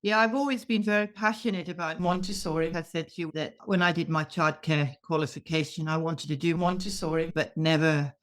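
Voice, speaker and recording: A woman's voice: deep voice, steady tone, a little fast, few pauses. A woman hosting a parenting podcast, speaking in scripted introductions and interview questions. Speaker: podcast host, childcare educator.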